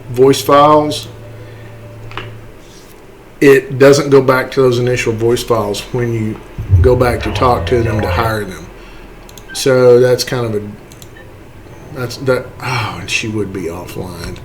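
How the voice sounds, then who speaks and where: Slow-talking man giving a casual tutorial. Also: medium pitch, a little hiss or hum, poor audio. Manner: self-deprecating, apologetic, folksy. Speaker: tutorial host. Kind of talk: casual, unscripted screen-capture tutorial. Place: indoors, voice-over to screen capture.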